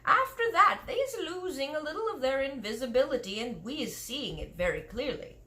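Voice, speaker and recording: A big, friendly giant with muddled grammar and made-up words, voiced by a woman reading aloud. He sounds bright and springy, and his pitch leaps about as he fusses and frets. He is a storybook figure who is easily excited. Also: a little fast. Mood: earnest, explaining. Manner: mangled grammar and invented words.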